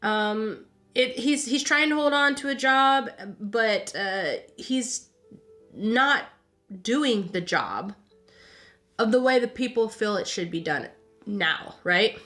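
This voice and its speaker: A woman's voice: fairly high pitch, expressive, slow pace, a bit bright, soft background music. A woman hosting a podcast, telling history casually from notes in an informal way, often tagging sentences with "right?" and stumbling over words as she goes. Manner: informal, chatty, frequent "right?" tags; stumbling, self-correcting, repeats words. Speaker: podcast host.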